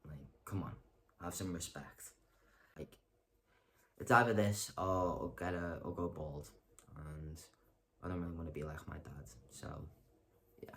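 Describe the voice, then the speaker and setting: A young man vlogging in a casual monologue, rambling and hesitant, with lots of ums and self-corrections and deadpan self-mocking humor. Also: very deep voice, a little slow, pauses often, a little hiss or hum. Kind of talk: casual talking-to-camera monologue.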